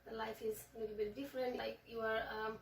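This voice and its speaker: Young woman from Nepal, fairly high voice. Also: level tone, creaky, a little hiss or hum. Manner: measured, hesitant, with frequent fillers. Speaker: guest. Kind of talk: casual interview, explaining her culture. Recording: echoey room, voice from a distance.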